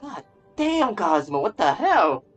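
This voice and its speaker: A man's voice: sits high, very animated, slow. A man streaming a game with casual live commentary, rambling and stammering as he repeats his phrases. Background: game music.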